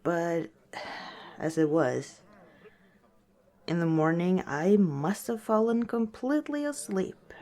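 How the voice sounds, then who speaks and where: A woman voicing a gentle, wistful female orc tavern owner in a soft-spoken, hesitant ASMR roleplay. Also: on the low side, slow pace, pauses often. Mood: confiding.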